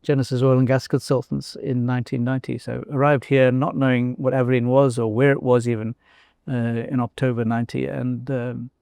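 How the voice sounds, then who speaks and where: An older man from South Africa with a South African accent, talking thoughtfully in an interview, pausing often with fillers and restarts. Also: mid-range voice, level tone, creaky, darkish tone, no echo, clean studio sound. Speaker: guest, former company CEO. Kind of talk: casual interview conversation.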